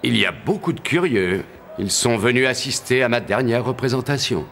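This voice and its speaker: An actor playing a brave, courteous young soldier in an animated tale, polite and assured. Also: male voice, mid-range voice, normal speed, creaky, over music. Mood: confident. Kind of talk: animated film acting.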